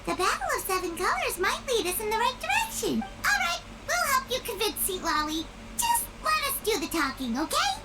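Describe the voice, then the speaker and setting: A woman voicing a small, eager, childlike companion creature in a video game, squeaky and chirpy. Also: a little fast, hardly any pauses, very noisy, heavily acted. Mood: eager, helpful. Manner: chirpy, expressive. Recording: game music behind the voice.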